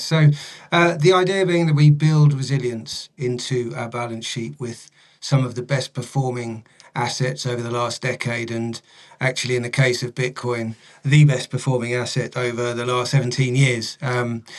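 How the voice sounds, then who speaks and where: A man, a company director, explaining strategy in an interview, hesitantly breaking his phrases into pieces. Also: mid-range voice.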